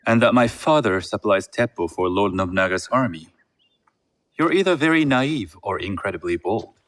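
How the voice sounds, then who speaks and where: An actor playing a shrewd, composed merchant heir in a game set in feudal Japan, speaking in a measured, formal way. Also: male voice, on the low side, animated, fairly bright tone. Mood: guarded, appraising. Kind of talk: video game cutscene acting.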